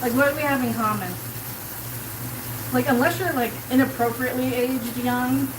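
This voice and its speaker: A chatty woman in her forties with a South Kansas accent, talking to her stream as she cooks. Her voice sits a little high, and she rambles loosely, filling her sentences with "like." A pan sizzles clearly behind her. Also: fairly even intonation, moderate pace, noisy background. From Colorado. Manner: chatty, rambling, heavy use of "like", answers chat aloud. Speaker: livestreamer. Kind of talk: casual live-stream chat while cooking. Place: home kitchen.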